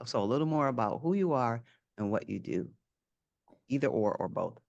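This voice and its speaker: A woman's voice: extremely low pitch, lively intonation, normal speed, creaky, darkish tone, muffled recording. A woman hosting a podcast interview, friendly, often restarting and rephrasing her questions. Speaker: podcast host.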